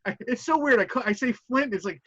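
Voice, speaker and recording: A man with a high, very lively voice runs a tabletop game as its game master, narrating with quick asides and frequent laughter. His voice is dry and close, with slightly dull call audio. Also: dry recording.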